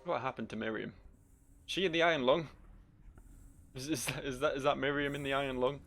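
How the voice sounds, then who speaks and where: A man's voice: medium pitch, fairly even intonation, fairly quick, creaky, fairly bright tone. A man hosting a game playthrough with casual, wry, sweary commentary. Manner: wry, sweary, reads game text aloud. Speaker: gaming video host. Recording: faint noise, game ambience.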